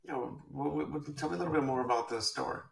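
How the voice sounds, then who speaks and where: A man hosting an interview podcast, asking halting, searching questions full of fillers and restarts. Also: mid-range voice, gravelly. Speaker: podcast host. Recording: roomy echo.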